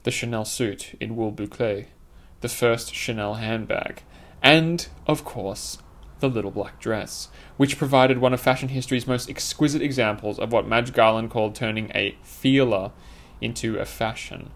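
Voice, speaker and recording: Guy reading out his own essay, kinda formal and literary, opinionated, middle-pitched voice. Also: normal speed, a bit bright. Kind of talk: scripted essay narration.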